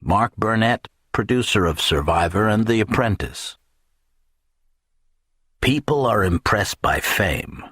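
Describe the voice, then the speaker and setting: A low-voiced man narrates a comic first-person audiobook with wry, dramatic flair. His tone is husky and the recording is close and dry. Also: lively intonation, takes it slowly, long pauses, a bit bright, no room sound.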